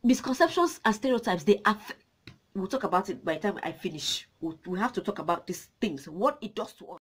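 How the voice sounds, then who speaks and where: A young woman from Nigeria, Nigerian accent, rants animatedly to a camera close in front of her. Her voice is husky, her English that of a second language, and the small room keeps it close. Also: mid-range voice, a little fast. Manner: passionate, animated, gesturing. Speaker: vlogger. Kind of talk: ranting to camera. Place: small indoor room with a draped cloth backdrop.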